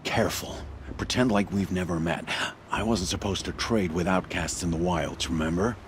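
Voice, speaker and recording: A furtive trader of a game-world tribe, played by a man, with a low-pitched voice. He is sly yet friendly and speaks confidingly, over faint background noise. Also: moderate pace, lightly acted. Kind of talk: video game voice acting.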